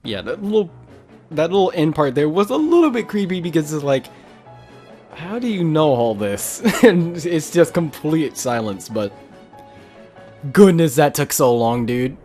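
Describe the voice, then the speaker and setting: A man's voice: on the high side, big pitch swings, a little slow, darkish tone. A man giving casual, rambling let's play commentary as a gaming video maker. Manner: rambling, informal. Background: game music.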